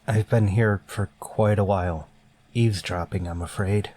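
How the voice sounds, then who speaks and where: An actor playing a stern, weary starship captain in a sci-fi radio drama, clipped and authoritative. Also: male voice, lowish voice, steady tone, moderate pace, a little mellow, lightly acted. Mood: dry, controlled.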